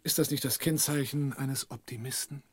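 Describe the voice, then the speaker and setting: An actor playing a calm, self-assured protector with dry optimism in a radio thriller. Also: male voice, mid-range voice, normal speed, a little hiss or hum, close to an everyday voice. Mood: wry, assured. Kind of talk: radio drama dialogue.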